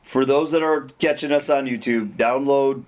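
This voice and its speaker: A male podcast host with an average-pitched voice steers a relaxed interview. He mostly drops in short prompts and nods of agreement. Now and then he speaks up to address the listeners. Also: moderate pace.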